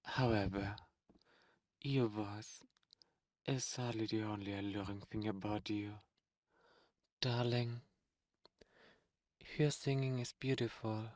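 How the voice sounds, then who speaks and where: A haughty demon prince, acted by a man, courtly and possessive, speaks in a low, unhurried voice. His formal, flirtatious delivery suits an intimate fantasy ASMR roleplay, recorded very dry. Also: darkish tone, dry recording. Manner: slow, formal, flirtatious, commanding.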